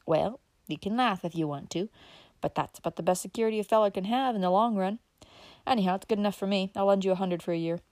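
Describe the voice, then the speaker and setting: A woman reading an audiobook voices a fat, folksy old country man who talks in rural dialect, loud and excitable. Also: medium pitch, quick pace, no room sound, lightly acted. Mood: insistent.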